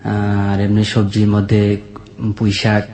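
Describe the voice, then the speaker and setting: A man's voice: fairly low pitch, monotone, takes it slowly, darkish tone. A young man with a colloquial Bangladeshi accent telling a personal story conversationally, retelling dialogue turn by turn. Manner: retells dialogue in quoted turns. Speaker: guest telling his life story. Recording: echoey, reverberant voice.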